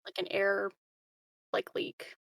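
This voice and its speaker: A woman's voice: slow, fairly bright tone, no echo. A woman chatting casually as a player in a tabletop roleplaying game. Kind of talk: casual chat.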